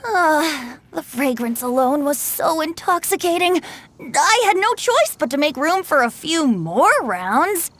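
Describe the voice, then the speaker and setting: A woman's voice: high voice, big pitch swings, measured pace, fairly bright tone, exaggerated voice. A woman voicing a youthful male wind god bard in a video game, with a playful, breezy, theatrical manner. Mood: playfully confiding. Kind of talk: video game character dialogue. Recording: studio voice over game music.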